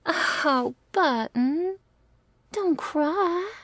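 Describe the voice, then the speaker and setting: A woman's voice: extremely high pitch, lively intonation, extremely slow, fairly bright tone, no echo, heavily acted. A woman playing a teasing, doting female vampire lover in an intimate ASMR roleplay, with whispery asides and quaint, affectionate wording. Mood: tender, protective. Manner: breathy asides, pet names, quaint turns of phrase.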